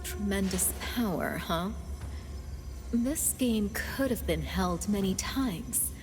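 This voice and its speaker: A woman playing a shrewd, self-assured strategist in a video game, persuading in a confident, deliberate way. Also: mid-range voice, expressive. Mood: calm, persuasive. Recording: prominent music behind the voice.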